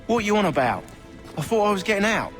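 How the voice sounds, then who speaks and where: Cocky lowlife villain, music behind. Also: male voice, big pitch swings, fast. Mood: indignant. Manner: cocky, slangy, taunting. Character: insolent criminal conspirator jailed in colonial America. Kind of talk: video game cutscene acting.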